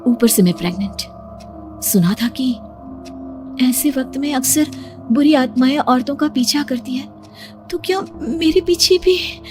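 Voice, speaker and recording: A young woman narrating a horror cartoon story in the first person, hushed and suspenseful. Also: a little high. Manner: hushed, suspenseful, rising to frightened.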